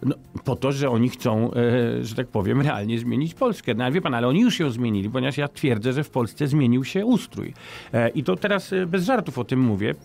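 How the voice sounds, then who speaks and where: A man's voice: medium pitch, animated, brisk, vocal fry, a little mellow, soft background music. A middle-aged man, a politician guest in a radio studio interview, who stumbles through restarts before pressing his points emphatically. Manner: hesitant restarts, then emphatic and argumentative. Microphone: studio microphone on boom arm.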